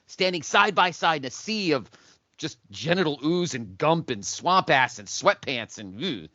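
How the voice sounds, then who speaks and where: A man playing a pushy, crude wrestling schemer who pitches emphatically like a salesman in a comedic audio play. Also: animated, unhurried, bright, forceful tone, no echo, lo-fi sound. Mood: insistent, relishing.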